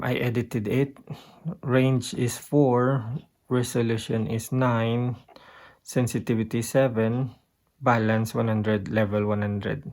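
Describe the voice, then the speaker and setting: Male, Filipino accent, casual gear-tutorial presenter. Unhurried, halting delivery, mid-pitched with a creaky edge. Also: darkish tone. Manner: halting, thinking aloud while pointing out settings. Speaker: guitarist giving a gear tutorial. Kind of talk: casual unscripted demonstration.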